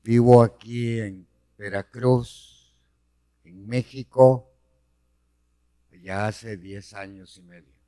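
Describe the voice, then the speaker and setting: A middle-aged man from Honduras, giving an earnest personal testimony of faith, slow and deliberate. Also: mid-range voice, steady tone, dark tone, clean tone.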